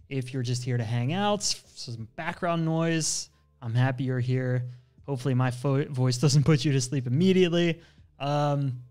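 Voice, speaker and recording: A young man with a fairly high, smooth and clear voice hosts a relaxed live stream on photo editing. He talks in an upbeat, slangy, chatty way and keeps reading out viewers' messages. He speaks close to a studio mic in a small room at home. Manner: upbeat, slangy, chatty, reads chat aloud. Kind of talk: casual live-stream tutorial. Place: home studio with brick wall, indoors. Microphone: studio mic on boom arm, headphones.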